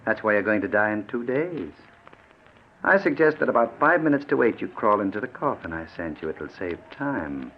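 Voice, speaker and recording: A man's voice: fairly low pitch, sing-song, normal speed. An actor playing a sadistic, mockingly polite murderer in an old-time radio thriller, toying with his victim in silky mock concern. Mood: mocking menace. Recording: muffled, noisy vintage radio recording.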